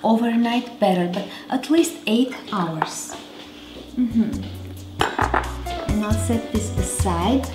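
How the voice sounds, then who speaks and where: A woman's voice: a little high, slow, long pauses, loud music behind the voice. A woman in her thirties hosting a home cooking show, chatting casually as she cooks in her kitchen. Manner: chatty, talks while cooking. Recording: roomy kitchen with faint clatter.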